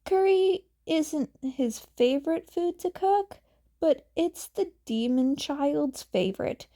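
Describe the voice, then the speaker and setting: Woman, story narrator, slow delivery, soft-edged mellow tone, scripted fiction reading. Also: high voice, lively intonation. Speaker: fan fiction podfic reader.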